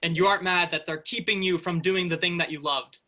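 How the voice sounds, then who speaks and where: Man acting a high, sharp-voiced rebellious space worker. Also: fairly even intonation, a little fast. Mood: skeptical, challenging. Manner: persuasive, pressing. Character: rebellious, cocky young spaceship worker who distrusts robots. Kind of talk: virtual staged play reading.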